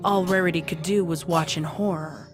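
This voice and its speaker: Low-pitched woman narrating a dramatic story. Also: fairly even intonation, normal speed, over music.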